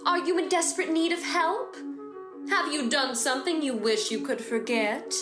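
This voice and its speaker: A woman's voice: sits high, moderate pace, close to an everyday voice. A woman playing an eerily soothing, coaxing institute announcer with syrupy sales patter, in a horror audio drama's mock advert. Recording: echoey, music behind.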